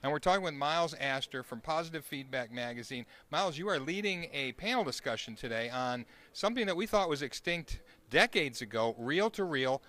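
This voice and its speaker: A man's voice: fairly high pitch, expressive, fairly quick, hardly any pauses, sharp tone. A man with an American accent, speaking as a web show host interviewing a guest on location at an event. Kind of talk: on-location interview with upbeat sign-on.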